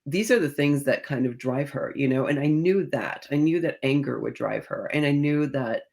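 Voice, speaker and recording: Creaky-voiced woman, author in interview. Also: a bass voice, moderate pace, darkish tone. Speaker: guest author discussing own novels. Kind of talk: conversational interview answers.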